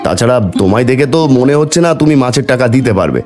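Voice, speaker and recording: A man's voice: medium pitch, lively intonation, rapid-fire, over music, close to an everyday voice. A man voicing a greedy, sly village fish seller in a cartoon, hawking and haggling. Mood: dismissive. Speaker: fish seller character in a fairy-tale cartoon. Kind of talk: cartoon voice acting.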